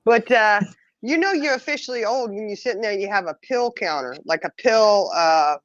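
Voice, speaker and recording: A woman's voice: mid-range voice, expressive, moderate pace, dry recording. Teasing woman, dry muffled sound. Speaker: podcast co-host. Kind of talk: casual chat.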